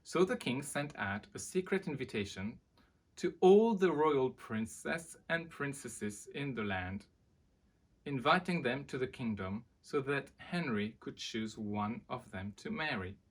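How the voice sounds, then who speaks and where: Brief: male children's storyteller, unhurried delivery, lively swings in pitch. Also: rough recording. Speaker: storyteller presenting a children's book. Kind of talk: expressive storybook reading for children.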